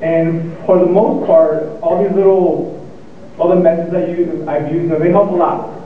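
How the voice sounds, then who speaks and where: A young man giving a motivational speech to an audience, speaking with a stutter and pausing, repeating words as he goes. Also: a little high, level tone. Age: young adult. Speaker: student speaker. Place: lecture hall. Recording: echoey hall, tinny and far off.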